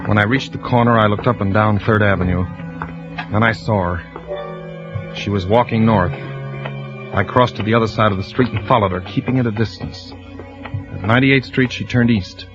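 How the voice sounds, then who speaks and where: A man plays a low-voiced, suspicious husband who narrates his night in slow, brooding sentences. It is an old radio thriller, lo-fi and muffled, with a clear score running beneath. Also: steady tone, lightly acted. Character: suspicious husband, grim first-person narrator. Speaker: lead actor and narrator. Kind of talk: 1940s radio drama acting. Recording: lo-fi old radio broadcast with music.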